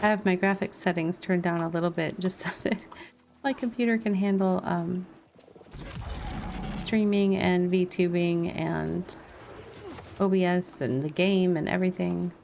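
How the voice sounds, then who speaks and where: Casual woman streamer with a crackly, creaky voice. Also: lowish voice, fairly even intonation, unhurried, darkish tone. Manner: chatty, informal, laughs easily. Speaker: video game streamer. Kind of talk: casual livestream gameplay chat.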